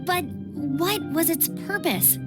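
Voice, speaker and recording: A woman's voice: very high voice, slow pace. A woman playing an earnest, concerned young female adventurer in an anime game. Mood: worried, confused. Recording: loud music behind the voice.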